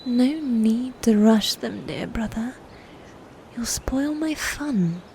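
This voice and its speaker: Woman acting as a cruel twin knight lady, mellow highish voice, whispery, slow mocking old-timey talk, asmr roleplay. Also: lively intonation, a little hiss or hum, full character voice. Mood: gloating. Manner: slow, mocking, formal old-fashioned speech with breathy whispers. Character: sadistic, taunting medieval female knight, a twin sister.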